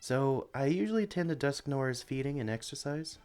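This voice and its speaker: Man acting as a gentle, encouraging sanctuary worker, music behind. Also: mid-range voice, lively intonation, moderate pace, clean tone, natural voice. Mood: reassuring. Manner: gentle, encouraging, chatty, coos to creatures. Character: friendly, caring experienced male Pokemon sanctuary worker showing newcomer around. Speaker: voice actor in audio roleplay. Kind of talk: ASMR roleplay, acted one-sided conversation.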